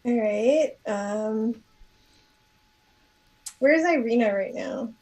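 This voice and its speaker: A woman's voice: on the high side, extremely slow, a little mellow, poor audio. A woman playing a tabletop game, asking questions and describing her character in casual, tentative talk, away from the microphone.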